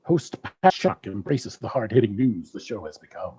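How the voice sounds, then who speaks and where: Husky male radio play narrator. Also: fairly low pitch, sing-song, moderate pace, warm tone, lo-fi sound. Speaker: narrator, announcer.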